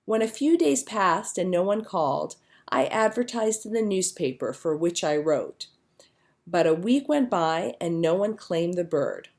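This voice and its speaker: Expressive woman reading a storybook, lively pitch. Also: a little slow. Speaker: storybook reader. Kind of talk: scripted children's story narration, read aloud.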